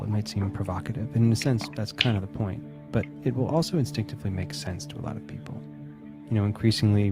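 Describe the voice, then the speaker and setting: A man explaining a story as an editor in a produced video, articulate and measured. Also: on the low side, creaky. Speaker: magazine editor. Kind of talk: explanatory interview for a promotional video. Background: guitar music bed.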